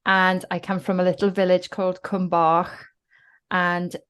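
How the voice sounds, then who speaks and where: Lower-voiced Welsh woman. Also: level tone, unhurried, a bit bright, clear, resonant tone. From South Wales. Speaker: guest author. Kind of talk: podcast interview.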